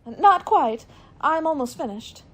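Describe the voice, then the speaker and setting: A woman's voice: high-pitched, lively intonation, a little slow, a bit bright. A woman playing an eager, concerned secretary in a radio mystery play, with an earnest, emotive manner. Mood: shocked, earnest. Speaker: actor in an amateur radio play. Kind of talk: radio mystery drama acting.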